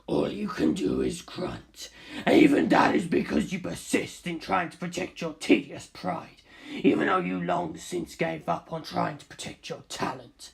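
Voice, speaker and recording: A narrator voicing an arrogant, ancient superhuman male villain in a story, with a slow, gravelly, scornful delivery. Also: big pitch swings, exaggerated voice. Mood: contemptuous. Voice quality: very creaky, gravelly low rasp, breathy. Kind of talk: dramatic audiobook character acting.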